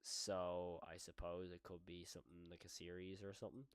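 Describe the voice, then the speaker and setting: A man's voice: low-pitched, flat delivery, moderate pace, smooth, no echo, clean studio sound. A man doing casual live reaction commentary as a streamer, rambling and thinking aloud with some mild swearing.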